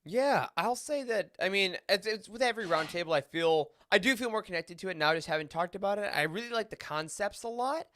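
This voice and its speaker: A man's voice: high voice, lively intonation, a little fast, hardly any pauses, fairly bright tone, no room sound. A man on a podcast panel, chatting casually and sharing his opinions. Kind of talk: casual chat.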